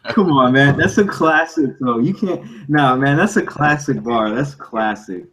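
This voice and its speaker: A man's voice: mid-range voice, unhurried, fairly bright tone. A young man co-hosting a casual podcast, slangy and joking, repeating himself for emphasis. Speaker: podcast co-host.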